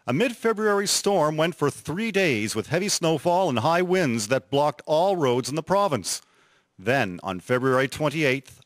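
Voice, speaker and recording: A man giving measured scripted narration for a radio drama. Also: mid-range voice, fairly bright tone, no room sound. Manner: measured storytelling.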